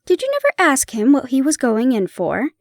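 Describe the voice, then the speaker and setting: A woman voicing a weary male army doctor who speaks in a measured, polite and earnest way. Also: sits high, wildly swooping pitch, no echo, clean studio sound, exaggerated voice.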